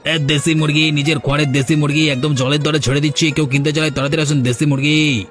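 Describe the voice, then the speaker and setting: A man's voice: a little high, level tone, fast, fairly bright tone, close to an everyday voice. A man voicing a shifty village chicken thief and hawker in a cartoon, with music behind him. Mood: hawking. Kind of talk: Bengali cartoon voice acting. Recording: music under the voice.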